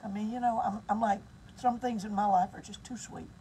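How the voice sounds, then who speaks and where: A woman's voice: medium pitch, steady tone, a little fast, warm tone, far from the mic. A woman in her sixties chatting cheerfully from inside a tour bus. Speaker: singer. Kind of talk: casual chat. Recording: off-mic, slightly noisy.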